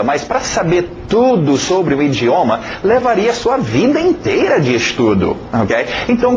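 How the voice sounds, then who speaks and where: Male language teacher, very lively intonation, informal, repetitive emphasis, background noise. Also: mid-range voice, highs cut off. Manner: animated, repeats words for emphasis, frequent check-in tags. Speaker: English teacher. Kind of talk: informal spoken lesson.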